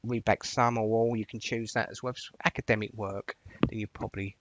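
A man's voice: on the low side, level tone, fairly quick, fairly bright tone, no echo. Man giving a tutorial, dull dry recording. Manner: informal, chatty instructional talk. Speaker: software tutorial presenter. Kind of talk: informal step-by-step screen tutorial narration.

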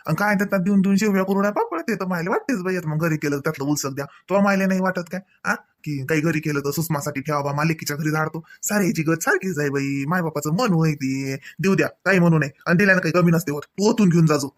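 A man's voice: a little high, fast talker, hardly any pauses, close to an everyday voice. A man playing a fair-minded, chiding elderly village father-in-law in a comedy cartoon, speaking colloquially with a Varhadi Marathi accent and questioning tags. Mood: matter-of-fact.

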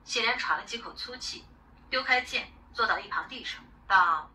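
A woman's voice: fairly high pitch, expressive, normal speed, sharp tone. A woman reading a story aloud in a dramatic audiobook style. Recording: distant playback with faint noise.

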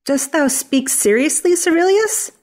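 A woman playing an effusive, flattering, two-faced Athenian lord in a theatrical Shakespeare play reading. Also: high-pitched, measured pace. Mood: feigned regret. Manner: gushing, theatrical.

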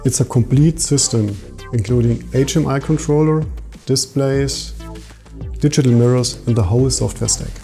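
A man in his thirties, an engineer, briskly listing details in an interview, a bit far from the mic. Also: mid-range voice, warm tone, soft background music. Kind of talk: interview statement.

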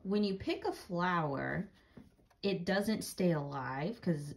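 Female storybook reader, slightly low voice, very unhurried, deliberate, asks gentle questions. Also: lively intonation, creaky. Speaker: read-aloud reader. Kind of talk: storybook read-aloud with casual chat.

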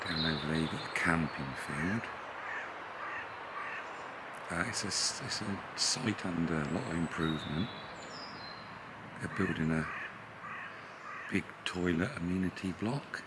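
A man in his fifties with a very low voice gives a relaxed, chatty walk-around of a campsite, with plenty of "uh"s as he goes. He films himself outdoors at arm's length on a handheld camera. Birdsong and rural outdoor noise come through clearly behind him. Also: measured pace, pauses often. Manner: relaxed, chatty, with frequent fillers. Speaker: vlogger touring a campsite. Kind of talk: casual walk-around commentary. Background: birdsong and rural outdoor ambience.

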